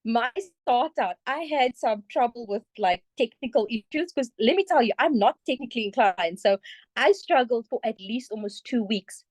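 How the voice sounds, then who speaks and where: A South African woman with a fairly high voice and a dry, close sound. She speaks earnestly and emphatically, like someone giving a motivational testimonial to a group. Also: animated, moderate pace, darkish tone, no echo. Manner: emphatic, earnest, checks in with "okay?". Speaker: community member giving a testimonial.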